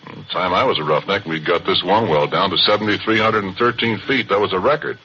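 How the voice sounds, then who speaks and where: A folksy deep-voiced ex-roughneck. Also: male voice, fairly quick, sharp tone. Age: middle-aged. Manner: folksy, conversational monologue. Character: middle-aged former oil roughneck, folksy storyteller. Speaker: narrator. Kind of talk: 1940s radio acting. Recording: muffled old radio broadcast with hiss.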